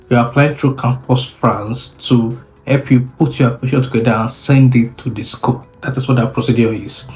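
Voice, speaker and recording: A man's voice: mid-range voice, darkish tone, poor audio. A young man from Nigeria with a Nigerian English accent, telling his own story in a casual vlog and often restarting his sentences. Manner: unhurried, with frequent restarts and self-corrections. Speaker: vlogger.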